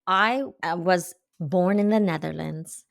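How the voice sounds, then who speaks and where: A woman's voice: on the low side, slow pace, creaky, no room sound, studio quality. A woman in her fifties, an acupuncturist giving earnest, explanatory answers in an interview. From Canada. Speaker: guest, acupuncturist.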